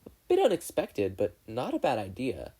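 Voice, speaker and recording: A man's voice: medium pitch, huge pitch swings, normal speed, mellow, slightly rough, rough recording. A man voicing a nervous, polite teenage boy inventor who is easily flustered and apologetic. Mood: curious.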